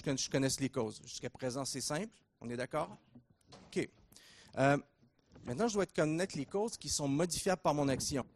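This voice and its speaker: A middle-aged man with a Québécois accent giving a conference lecture, relaxed and joking, with many fillers and restarts. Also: medium pitch, a little hiss or hum. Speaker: invited lecturer at a training conference.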